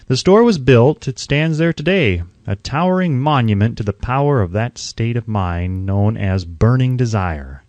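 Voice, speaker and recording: A man reading a self-help audiobook as narrator, with emphatic, deliberate phrasing. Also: medium pitch, a little mellow, no echo.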